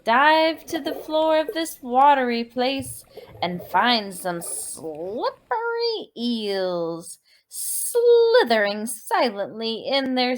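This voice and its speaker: High, slow, expressive woman storyteller. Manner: expressive, deliberate, prompting with questions and repeated words. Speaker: dance teacher reading a movement story. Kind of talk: children's guided storytelling.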